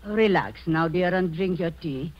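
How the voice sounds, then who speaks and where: An actress playing an imperious, falsely kind middle-aged aunt in 1940s radio drama, theatrical, with syrupy endearments and sudden sharp commands. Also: female voice, lowish voice, a little slow, dull audio, lightly acted. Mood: falsely soothing. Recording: band-limited noisy 1940s radio recording.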